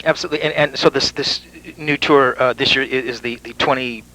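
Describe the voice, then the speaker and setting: A man's voice: medium pitch, fairly even intonation, a little fast, bright, forceful tone, low-quality recording. A man interviewing a musician, asking questions hesitantly with many fillers.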